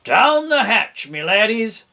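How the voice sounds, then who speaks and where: A man's voice: high-pitched, big pitch swings, slow, bright, forceful tone, pitched above the performer's own voice, heavily acted. A man voicing a blustery, hearty pirate captain from a children's storybook, bellowing in old-time pirate talk.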